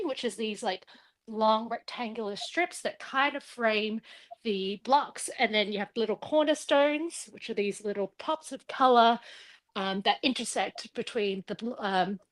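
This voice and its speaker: A woman guest explaining a quilt project in a friendly, rambling way with many fillers. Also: medium pitch. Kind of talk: casual demonstration.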